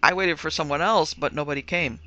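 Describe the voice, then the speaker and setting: A man's voice: a little high, a little fast, sharp tone. A man voicing a shaken young son in an audiobook who tries to sound casual and unconcerned. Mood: uneasy, excited. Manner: shaky, trying to sound casual. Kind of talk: audiobook character acting.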